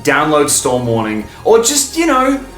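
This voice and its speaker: A man hosting a fan video, talking in an enthusiastic, informal, emphatic way, stopping and starting with pauses for breath. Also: a little high, huge pitch swings, fairly bright tone, roomy sound, soft background music. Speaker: video host and fan reviewer.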